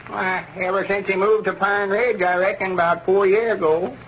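A muffled, hissy 1930s radio recording features a cranky old country grandpa. He is blunt and high-voiced, in radio-comedy style. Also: fairly even intonation, normal speed, fairly bright tone. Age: older.

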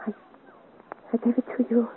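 An actress playing a frail, dying young woman in a 1950s radio serial, mournful and resigned, speaking weakly in halting phrases. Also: lightly acted. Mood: urgent, failing. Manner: halting, weak, broken phrases. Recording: scratchy, tinny 1950s radio recording.

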